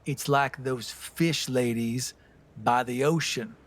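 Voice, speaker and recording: A man's voice: mid-range voice, fairly even intonation, slow pace. A man playing a tabletop game, chatting casually in a jokey, self-deprecating and profane way.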